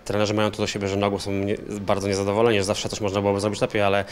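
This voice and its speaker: A man's voice: fairly low pitch, monotone, racing. An assistant football coach in his thirties answering post-match questions in a hotel lounge, often repeating words as he searches for phrasing. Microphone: handheld interview mic.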